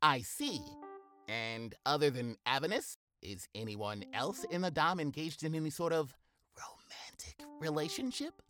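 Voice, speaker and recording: An actress playing a jokey, nosy group therapist in an audio sitcom, effusive and giggly. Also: female voice, sits low, animated, measured pace, a bit bright, faint music behind. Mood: curious. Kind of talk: sitcom audio theatre acting.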